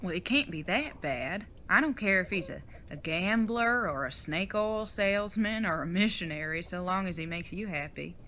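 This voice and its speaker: A woman playing a bright-voiced, folksy outlaw mother. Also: on the low side, expressive, moderate pace, hardly any pauses, a little hiss or hum. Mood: exasperated. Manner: folksy Old West drawl and wording. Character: folksy old retired outlaw mother, fond and teasing. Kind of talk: audio drama acting.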